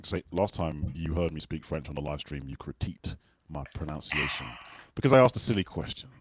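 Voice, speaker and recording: A man's voice: extremely low pitch, lively intonation, a little fast, a little hiss or hum. A man from the UK hosting a casual video call livestream, jokey and self-deprecating. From United Kingdom. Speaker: host.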